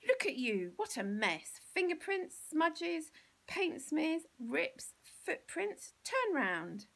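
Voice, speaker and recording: A woman's voice: very high voice, huge pitch swings, slow pace, creaky, fairly bright tone, pitched above the performer's own voice, full character voice. A woman with an English accent voicing a horrified, exasperated mother who shrieks as she scolds in a storybook. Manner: shrieking, exclamatory.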